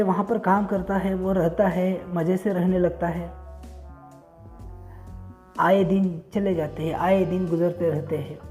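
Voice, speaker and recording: Male storyteller, dark mellow tone, simple repetitive phrasing, moral tale, quiet music behind. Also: high-pitched. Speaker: storyteller and channel host. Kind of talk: moral storytelling with direct address to listeners.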